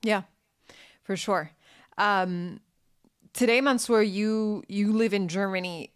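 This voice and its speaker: A woman's voice: medium pitch, fairly even intonation, takes it slowly, clean tone. A woman hosting an interview podcast, asking thoughtful questions with hesitant, stammered restarts. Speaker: podcast host.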